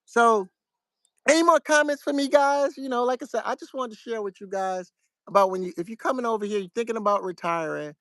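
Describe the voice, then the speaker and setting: A middle-aged man from New York with a New York accent, giving casual, soft-spoken advice to his viewers in a fairly flat, monotone way. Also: extremely high pitch, a little fast, no room sound. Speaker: vlogger.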